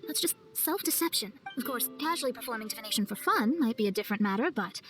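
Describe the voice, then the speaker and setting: Woman playing a proud, high-voiced young astrologer. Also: expressive, fast talker, music in the background, natural voice. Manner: precise, proud, formal. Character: proud, earnest young female astrologer, easily flustered.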